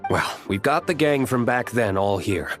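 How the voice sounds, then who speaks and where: A man playing a steady, confident young male traveler in a video game. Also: mid-range voice, moderate pace, lightly acted. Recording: music behind the voice.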